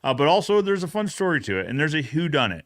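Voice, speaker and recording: A man's voice: on the high side, big pitch swings, fast talker. A man hosting a casual live recap show, talking in an agreeable, conversational way and often checking in with "right?". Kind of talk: casual live podcast chat.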